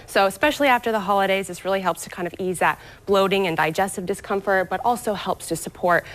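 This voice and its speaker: An eager woman gives health tips on a TV lifestyle segment. She sounds upbeat and explanatory, with a pitch that sits mid-range for a woman. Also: fast. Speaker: gut health guest. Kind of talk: TV morning show interview. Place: TV studio.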